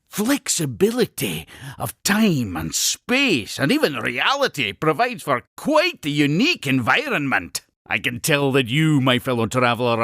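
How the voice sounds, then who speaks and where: Game role: eccentric Scottish explorer-salesman creature, grandly theatrical, hugely swooping pitch, dry recording. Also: fairly bright tone, no echo, heavily acted. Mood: persuasive, eager. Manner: theatrical, showman-like, chuckling salesman patter. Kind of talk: scripted video game character speech.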